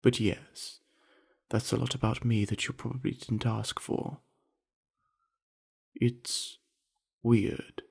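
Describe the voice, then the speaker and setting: A man playing a friendly, earnest young orc university jock in an ASMR roleplay, chatting easily in a gravelly, husky voice with musing pauses. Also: mid-range voice, level tone, a little mellow, heavily acted. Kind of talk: scripted ASMR roleplay addressed to the listener.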